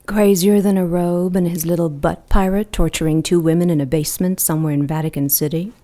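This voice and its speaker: A woman playing a vengeful supernatural villain in an audio drama, poised and probing, with quiet menace under her politeness. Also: fairly low pitch, steady tone, a little fast, natural voice. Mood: curious, sardonic. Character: Lilith, menacing vengeful female supernatural villain posing as friendly.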